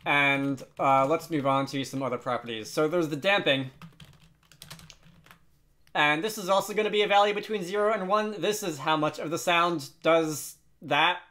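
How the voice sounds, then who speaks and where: Casual, hesitant man giving a software tutorial. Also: a little high, moderate pace. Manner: hesitant, thinking aloud. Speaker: tutorial host.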